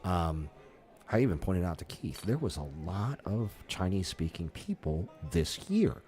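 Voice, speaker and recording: Very deep-voiced man, halting podcast chat. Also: sing-song, dark tone, soft background music. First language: Taiwanese. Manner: halting, many restarts and fillers. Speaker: podcast co-host and festival performer.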